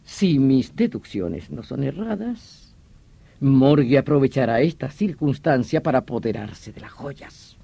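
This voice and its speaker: Radio drama detective: obsessed villain-hunter, theatrical and emphatic, mellow tone, very animated pitch, dry close sound. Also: male voice, a little slow, vocal fry, no room sound. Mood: explanatory. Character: vehement veteran police detective obsessed with hunting a vampire villain.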